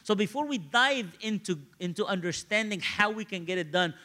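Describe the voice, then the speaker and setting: A male preacher delivers a sermon full of personal stories. His voice is high and bright, swinging widely in pitch. He talks conversationally and repeats phrases to drive a point home. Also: lively intonation, moderate pace. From Egypt, grew up in Cairo.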